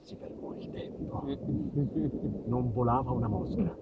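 A man telling a comic stage monologue in an emphatic, dramatic manner, moving between hushed and loud delivery. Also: medium pitch, expressive, unhurried, narrow, tinny audio, heavy background noise. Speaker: solo storyteller and actor. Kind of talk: theatrical monologue, comic storytelling.

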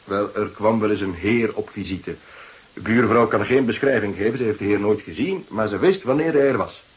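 Earnest junior detective played by a man, low voice. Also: moderate pace, highs cut off, lightly acted. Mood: matter-of-fact. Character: earnest, dutiful male junior police detective. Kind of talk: Dutch radio drama acting.

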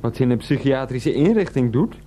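An actor playing a henpecked grown son in a radio play, placating and halting as he talks to his mother. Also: mid-range voice, expressive, natural voice. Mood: flustered, placating.

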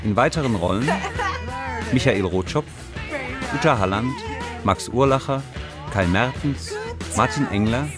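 A man's voice: mid-range voice, unhurried, pauses often. A man reading scripted radio drama credits as an announcer. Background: loud guitar music.

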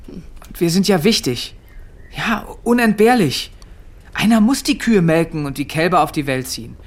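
An actor playing a shy, earnest seventeen-year-old farm milker in a German radio drama. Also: male voice, a little high, expressive, normal speed. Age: young adult. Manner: hesitant, modest, earnest.

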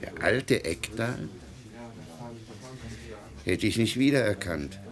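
An actor in a German radio play playing a suave, flattering gentleman guest at a society party. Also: on the low side, slow pace, a crowd in the background. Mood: disdainful.

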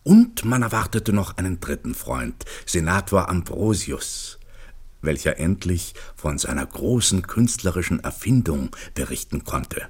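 An actor playing the storyteller of an old comic tale, narrating a literary radio play in a measured manner. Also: male voice, low voice, very animated, darkish tone, husky, heavily acted. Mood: measured storytelling.

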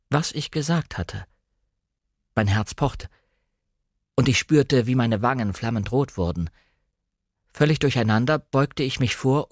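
Guy reading a literary novel, measured but expressive, mid voice, no room sound. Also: mid-range voice, dry recording, clean studio sound. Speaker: narrator. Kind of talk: literary audiobook narration.

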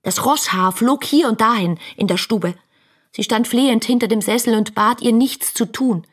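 An actress playing a passionate young woman writer reading her letters in a radio play, intimate and fervent, with a husky, breathy voice. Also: on the high side, normal speed. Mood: agitated, vivid. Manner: fervent, intimate, literary storytelling. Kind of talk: dramatic radio play monologue.

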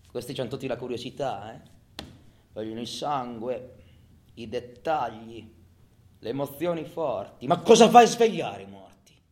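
An actor playing a bitter, cynical prisoner in a colloquial radio theatre monologue, muttering to himself and breaking into loud outbursts. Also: male voice, mid-range voice, lightly acted. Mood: weary, brooding, then angry, defiant. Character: cynical, bitter male convict musing in his cell. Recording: roomy, echoing sound.

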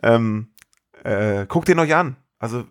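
Male podcast co-host, ordinary mid-range voice, casual, excitable talk peppered with slang and curses. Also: medium pitch, slow. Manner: slangy, sweary, enthusiastic. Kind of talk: casual chat.

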